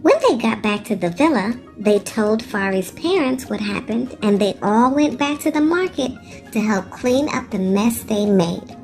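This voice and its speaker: Woman, animated children's storyteller, high lively voice, deliberate teaching delivery, music behind. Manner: expressive, deliberate, instructive. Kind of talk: animated children's read-aloud narration.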